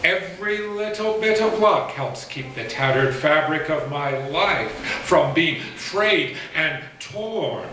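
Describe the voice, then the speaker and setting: A middle-aged man performing as a poet at a live reading, speaking with deliberate, theatrical phrasing. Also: fairly high pitch, bright, forceful tone. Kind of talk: theatrical live poetry recital with spoken introduction. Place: small indoor performance space. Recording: echoey, noisy room, distant live pickup.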